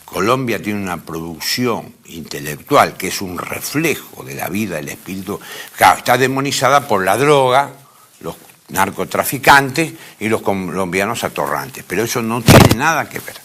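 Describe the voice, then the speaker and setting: An Argentine man delivers an informal philosophy lecture with real emphasis. His voice is bright and forceful, and his pitch moves in lively sweeps. He often repeats a phrase to drive it home. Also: normal speed.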